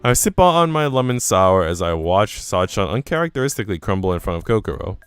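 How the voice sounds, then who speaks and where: A man's voice: low voice, big pitch swings, a little fast, clear, resonant tone, soft background music. A man narrating a story from a visual novel, reading both the prose and the dialogue aloud.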